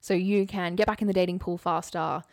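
A woman's voice: lowish voice, fairly even intonation, a little fast, a little mellow. A woman co-hosting a podcast, chatting casually in a playful, mock-serious way as she talks things through. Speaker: podcast co-host. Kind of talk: casual comedic chat, working through a formula aloud.

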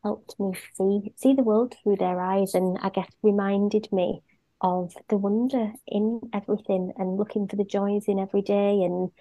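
A mother talking in a gentle, reflective way as a guest, with frequent fillers. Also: mid-range voice, level tone, moderate pace, low-quality recording. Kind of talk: casual conversation.

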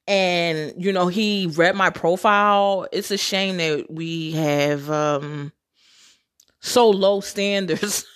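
A woman's voice: medium pitch, fairly bright tone. A woman hosting a casual storytelling podcast, candid and sassy, swearing freely, laughing often and calling her listeners sisters. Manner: addresses listeners as sisters and girl. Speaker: podcast host.

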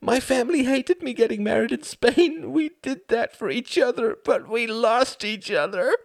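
A man's voice: extremely high pitch, very slow, gravelly, dry recording, voice pushed up, heavily acted. A man voicing a wounded, desperate young wife, pleading and bitter in an emotional audiobook drama. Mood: tearful, pleading.

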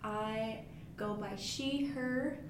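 A woman's voice: a little high, extremely slow. A young woman co-hosting a student podcast, earnest and slightly scripted in tone. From United States. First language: Spanish. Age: young adult. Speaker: student co-host. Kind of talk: casual podcast chat. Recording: echoey room with noise.

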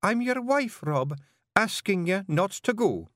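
A man voicing a small, stern female pixie clan leader with a sharp, commanding Scottish voice. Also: fairly high pitch, lively intonation, moderate pace, no echo, studio quality, voice pushed up. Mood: pleading. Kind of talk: audiobook character voice.

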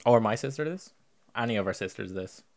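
A man's voice: lowish voice, lively intonation, brisk, creaky. A young man hosting a podcast, chatting casually with teasing, swearing banter. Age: young adult. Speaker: podcast host. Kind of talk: casual chat.

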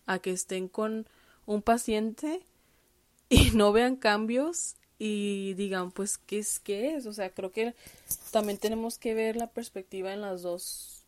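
A woman's voice: mid-range voice, slow pace, no room sound. Candid, chatty woman, dry sound. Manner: candid, chatty, with frequent fillers and self-corrections. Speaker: podcast host. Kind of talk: casual personal monologue. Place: lives in the United States.

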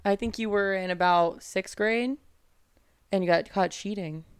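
A young woman co-hosting a podcast, telling stories in animated casual chat and often laughing. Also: mid-range voice, a little slow, slight background noise. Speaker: podcast co-host.

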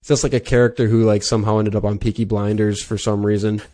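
Podcast host, man, Wisconsin accent, lower pitch, loose rambling chat. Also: little pitch movement, fast, dark tone. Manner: rambling, chatty, self-deprecating. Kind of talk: casual interview chat.

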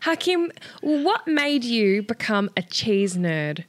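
A woman's voice: a little high, very animated, slow pace, no echo. A woman co-hosting a podcast in casual chat, bubbly and playful, laughing often. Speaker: podcast co-host.

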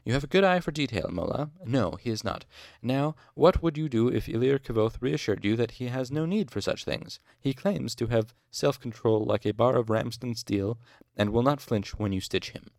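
A man's voice: medium pitch, lively intonation, normal speed, creaky, hardly any pauses, darkish tone, no echo. A man voicing a wry, stern older male master physician who teases his students. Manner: dry, teasing, stern.

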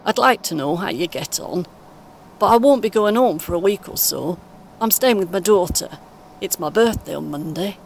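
A woman playing a kindly, encouraging British grandmother in her eighties in an audio play, speaking gently and reassuringly. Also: lively intonation, moderate pace. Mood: warm. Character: kindly, encouraging 81-year-old grandmother on a coach. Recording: clear vehicle rumble noise. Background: vehicle ambience.

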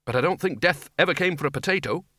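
A man's voice: mid-range voice, lively intonation, a little fast, sharp tone, no room sound, clean studio sound, pitched above the performer's own voice. A man voicing a doubtful wizard Dean who is eager to help in a comic fantasy audiobook, tentative and earnestly explaining.

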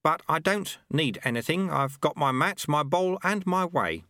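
Guy doing sly ancient monk sweeper, all fawning eagerness, bouncy pitch, dry sound. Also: lively intonation, pushed and bright, no room sound, heavily acted. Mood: dry, knowing. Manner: obsequious, fawning, brisk. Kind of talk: audiobook character voice.

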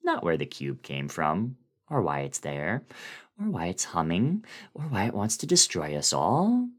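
A man giving a dramatic reading of a horror story in a deliberate, brooding way with dramatic swells. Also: sits low, wildly swooping pitch, a bit bright. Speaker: dramatic reader.

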